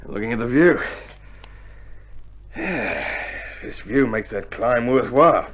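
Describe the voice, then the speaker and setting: A man's voice: medium pitch, expressive, slow. An actor playing a seasoned middle-aged Australian infantryman in a radio drama, dry and laconic. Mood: dry, weary. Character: steady forty-four-year-old Australian infantryman, dry and seasoned. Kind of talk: 1960s radio drama acting.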